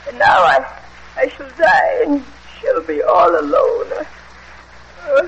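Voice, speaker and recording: An actress playing a frail, dying old woman servant in a radio horror drama, quavering, halting and anguished. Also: high voice, wildly swooping pitch, exaggerated voice. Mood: dying, distraught. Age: older. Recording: muffled, hissy old radio drama recording.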